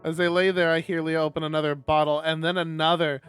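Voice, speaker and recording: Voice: medium pitch, little pitch movement, quick pace, a bit bright, clean tone, faint music behind. A streamer reading a visual novel aloud on a live stream, narrating and breaking off for exasperated, sweary commentary.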